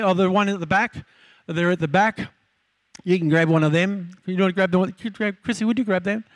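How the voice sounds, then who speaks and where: A man's voice: high-pitched, fairly bright tone, no echo. An older man pastoring a church, delivering fervent exhortations and announcements.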